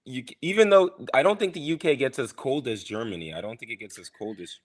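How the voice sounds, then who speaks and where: Easygoing American man, lively pitch. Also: fast talker. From United States. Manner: easygoing, hesitant, searching for words. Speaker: co-host. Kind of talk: casual chat.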